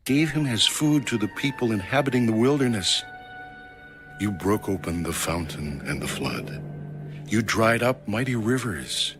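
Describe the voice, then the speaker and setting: A man reading scripture aloud in a solemn, formal, measured and dramatic style, as an audio Bible narrator. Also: fairly low pitch, lively intonation. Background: background music.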